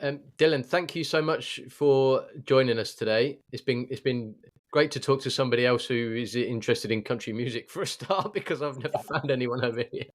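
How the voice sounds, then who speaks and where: A man's voice: medium pitch, fairly quick. Man hosting a casual podcast. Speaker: podcast host. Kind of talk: casual interview chat.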